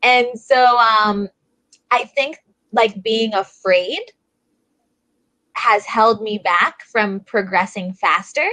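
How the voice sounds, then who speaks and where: A woman's voice: medium pitch, takes it slowly, pauses often, bright, forceful tone, no echo, low-quality recording. A woman teaching English on a video call, encouraging and chatty, often saying "like" and "right?". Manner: encouraging, filler-heavy with "like" and "right?". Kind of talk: encouraging tutoring chat.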